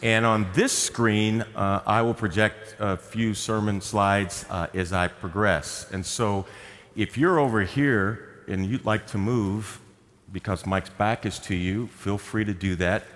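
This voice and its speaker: A male pastor giving a sermon in a folksy, explanatory way, dropping to quiet asides and rising to emphatic points. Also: lowish voice, steady tone, takes it slowly. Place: church sanctuary. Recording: reverberant church hall.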